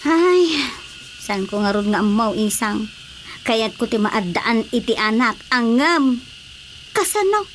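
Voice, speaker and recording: A woman's voice: a little high, lively intonation, slow. A woman playing a feisty, stubborn wife in an Ilocano-accented radio drama comedy, exclamatory and argumentative. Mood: stubborn, wistful. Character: feisty, stubborn childless wife devoted to her pet. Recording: clearly noisy radio drama recording.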